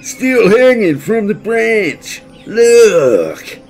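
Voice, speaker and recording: A man's voice: high-pitched, sing-song, extremely slow, a little mellow, soft background music, voice pushed up, full character voice. A man voicing a big, jolly, theatrical bear from a storybook, exuberant and grandiloquent. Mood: amused, gushing.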